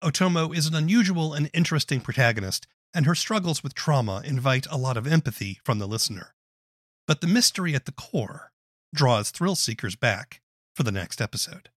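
A man's voice: mid-range voice, lively intonation, a little fast, no echo, studio quality. A man hosting a podcast, asking prepared questions and reading scripted narration. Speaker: podcast host.

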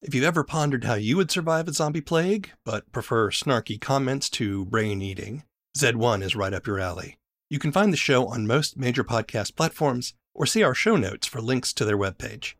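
A man hosting a podcast in a conversational, friendly-interview style. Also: medium pitch. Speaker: podcast host.

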